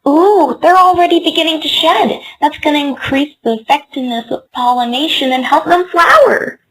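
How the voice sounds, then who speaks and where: A woman's voice: high-pitched, moderate pace, a bit bright, poor audio. A woman voicing a hopeful young female earth pony in a fan comic dub, earnest and starry-eyed.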